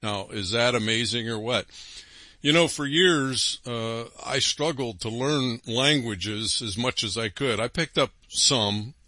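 Forceful preaching man, dry sound. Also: mid-range voice, a little slow, dry recording. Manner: emphatic preacher, rhetorical questions, frequent "okay?". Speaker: radio broadcast host. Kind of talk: preaching religious broadcast.

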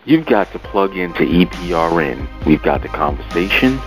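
Very deep male promo announcer. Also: sing-song, normal speed. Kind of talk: scripted ad read. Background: music.

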